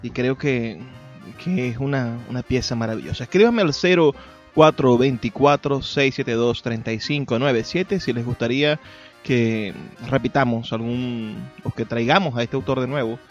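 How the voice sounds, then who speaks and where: A Venezuelan man hosts a radio show in an effusive, conversational way, pausing now and then between phrases. The recording is a bit muffled and lo-fi, with music playing underneath. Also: mid-range voice. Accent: Venezuelan Spanish. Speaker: radio host, poet. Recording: dull lo-fi sound with music.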